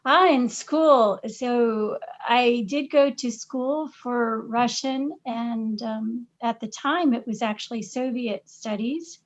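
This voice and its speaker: Middle-aged woman guest, halting casual answers, dull recording. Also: on the high side. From Massachusetts. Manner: hesitant, many fillers and restarts. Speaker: podcast guest, parent.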